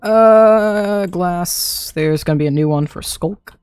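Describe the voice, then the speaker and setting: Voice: medium pitch, takes it slowly, darkish tone, clean tone, dry recording. A young adult streamer chatting casually to themself while playing a game, laughing often, throat a little dry. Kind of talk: casual live gameplay commentary.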